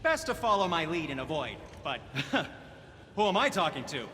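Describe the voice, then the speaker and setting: Chatty, high-voiced dwarf blacksmith. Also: male voice, big pitch swings, normal speed, pushed and bright. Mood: wry disdain. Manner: chattering, fussy, scornful asides. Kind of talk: video game cutscene acting. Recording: long hall reverb, faint background noise.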